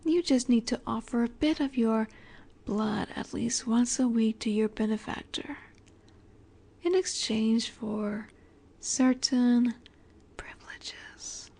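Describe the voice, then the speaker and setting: Soft, slow-speaking vampire seductress, acted by a woman. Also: fairly high pitch, exaggerated voice. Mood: coaxing, earnest. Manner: soft, breathy, measured, often dropping to a whisper. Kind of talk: intimate scripted audio roleplay.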